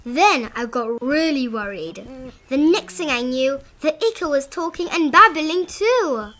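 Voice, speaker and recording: A woman reading a children's storybook aloud in an expressive, dramatizing manner. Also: high-pitched, unhurried. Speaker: read-aloud narrator of children's picture books.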